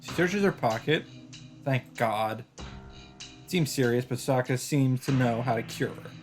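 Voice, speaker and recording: A man's voice: mid-range voice, expressive, unhurried. A man hosting a let's play, reading visual novel text aloud in an informal, dramatic way with casual asides. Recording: game music behind voice. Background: visual novel soundtrack.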